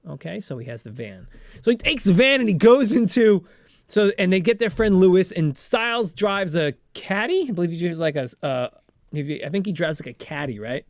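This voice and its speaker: High-pitched rambling man, stammering excitedly. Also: brisk, dry recording, studio quality. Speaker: podcast co-host retelling a film. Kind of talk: improvised comedic recap, casual.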